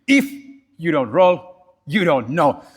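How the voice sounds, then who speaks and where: A man hosting a podcast, asking questions in an energetic, eager way and often repeating words. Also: on the high side, slow pace, slightly rough. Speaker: podcast host. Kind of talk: casual interview.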